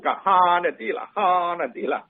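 A man's voice: quick pace, narrow, tinny audio, close to an everyday voice. A man voicing a frightened tiger in a folk fable, stammering, pleading and wailing with an Isan accent. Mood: panicked. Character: frightened panicky tiger fleeing an imagined monster.

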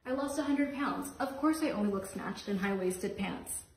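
A woman's voice: mid-range voice, moderate pace, a little mellow. A woman speaking in short, repeated statements for a social media skit about herself. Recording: echoey, noisy, distant microphone.

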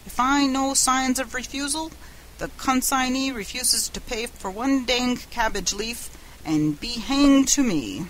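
A woman's voice: medium pitch, expressive, measured pace, a bit bright, slight background noise, poor audio. A woman voicing a stubborn, sardonic Irishman working as an express agent, with a folksy, dogged way of talking. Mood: cold, deadpan.

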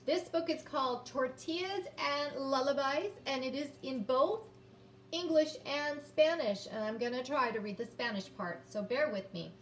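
A woman in her fifties teaching young children, sounding out words and reading aloud in a deliberate, encouraging way. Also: high-pitched. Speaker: early-grades teacher. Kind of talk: children's phonics lesson and read-aloud. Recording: roomy, distant mic, background noise.

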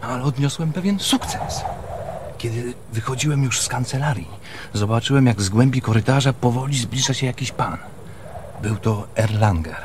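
An actor playing an earnest, eager messenger in a radio drama, giving a careful, detailed report. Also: male voice, mid-range voice, moderate pace, noisy background, lightly acted. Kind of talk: Polish radio theatre acting.

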